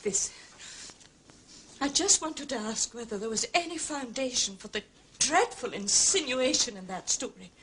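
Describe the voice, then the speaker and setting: An actress playing an anxious, genteel widow in a period drama, halting and pleading as she confides. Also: fairly high pitch, animated, fairly bright tone, slight background noise. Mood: anxious, polite. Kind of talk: British television period drama acting.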